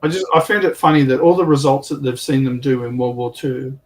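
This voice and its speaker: A man's voice: medium pitch, fairly quick. Man, distant from the mic. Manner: informal, anecdotal. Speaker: livestream panelist. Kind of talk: casual chat about military history and tabletop wargaming. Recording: distant microphone.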